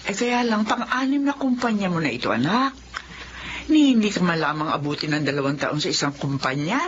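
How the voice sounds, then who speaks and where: A woman doing a fretting mother, low and lively voice, dull hissy recording. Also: a little fast, lightly acted. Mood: worried. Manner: fretful, nagging gently. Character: worried older mother. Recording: dull lo-fi audio with faint hiss.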